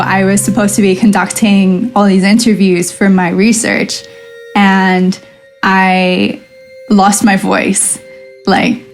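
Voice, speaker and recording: Woman guest, relaxed conversational storyteller, middle-range voice. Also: medium pitch. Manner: chatty storytelling, acts out her own past thoughts in quotes. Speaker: lucid dream researcher and coach, guest. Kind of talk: casual podcast conversation.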